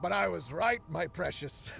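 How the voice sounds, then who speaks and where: A man's voice: high voice, expressive, measured pace, creaky, over music, full character voice. A man voicing a deluded drunken soldier in an anime game, rambling in a slurred, faltering mutter. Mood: crazed, deluded.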